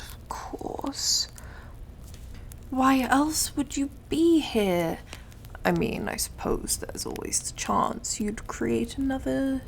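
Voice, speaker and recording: A woman's voice: high voice, gravelly, exaggerated voice. A woman voicing a suave, condescending devil in an ASMR roleplay, drawling and over-polite in a theatrical, taunting way. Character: suave, mocking, condescending devil who deals in soul contracts.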